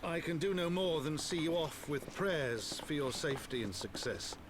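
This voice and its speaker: A man's voice: fairly high pitch, lively intonation, moderate pace, close to an everyday voice. An actor voicing a dignified, bereaved noble count in a game, stately and earnest in his solemn devotion. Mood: solemn blessing. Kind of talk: dramatic game voice acting. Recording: noisy game stream capture.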